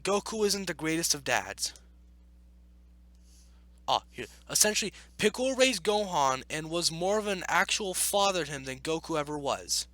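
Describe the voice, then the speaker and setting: A man with a high, bright voice that swings up and down a lot. He reads and reacts casually, arguing back in an exasperated tone. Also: animated, moderate pace, dry recording. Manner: exasperated, argumentative. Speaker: YouTube commentator. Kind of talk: casual reaction commentary while reading.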